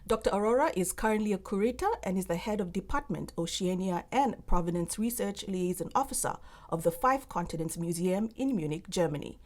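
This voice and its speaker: A woman reporter reading a scripted news voice-over with steady, careful narration. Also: medium pitch, fairly quick, few pauses.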